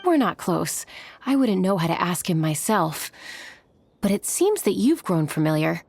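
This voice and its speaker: Courteous innkeeper with lively intonation. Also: female voice, fairly low pitch, measured pace, gravelly, slight background noise, exaggerated voice. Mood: friendly. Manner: polite, expressive, explanatory. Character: poised, courteous woman innkeeper, welcoming and informative. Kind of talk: English anime-style game dub.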